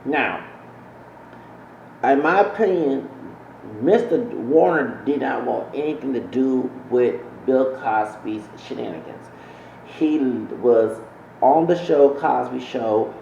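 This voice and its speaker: A man with a gravelly voice gives casual, opinionated commentary to his channel's audience, circling back and correcting himself as he goes. The sound is dull and roomy, with a faint hiss behind him. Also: medium pitch, slow, mellow. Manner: rambling, self-correcting, opinionated. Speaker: channel host.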